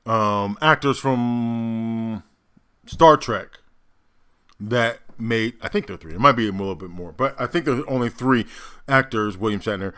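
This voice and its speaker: A man hosting a reaction video, musing aloud in a halting, casual way with fillers. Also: medium pitch, a bit bright, clean tone.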